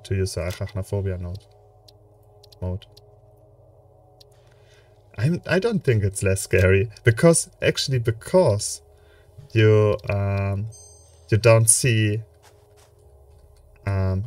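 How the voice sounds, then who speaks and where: A man's voice: on the low side, a little mellow, far from the mic. A young man with a German accent, casually commenting on a game he plays, full of hesitant fillers and restarts. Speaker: let's play streamer. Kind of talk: relaxed gameplay commentary with chat.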